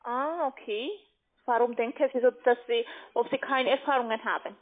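A woman's voice: fairly high pitch, fairly even intonation, normal speed. A woman call centre agent, not a native German speaker, asking sales questions on the phone. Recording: tinny phone line.

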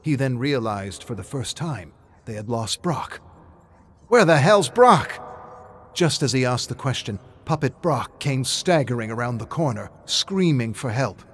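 A man's voice: medium pitch, expressive, normal speed, slight background noise. A man reading scripted, dramatic story narration as the narrator.

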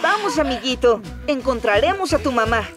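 A woman voicing a cheerful, eager young male steam locomotive in an animated children's dub, earnest and exclaiming, with a Latin American Spanish accent. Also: high voice, moderate pace, over music. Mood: concerned, kind.

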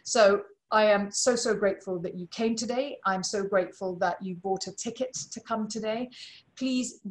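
A middle-aged woman from Malawi speaks as a webinar host over a video call from her living room. Her voice sits at an average pitch. She talks informally, with effusive and emphatic phrasing, as she introduces and appeals to her audience. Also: moderate pace. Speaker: webinar host and charity board chair. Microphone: video call computer microphone.

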